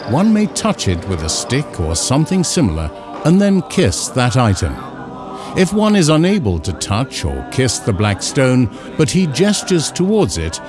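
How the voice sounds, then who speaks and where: A man reading scripted instructional narration in a measured, formal, carefully enunciated way. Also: medium pitch, wildly swooping pitch, over music. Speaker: narrator of an educational film.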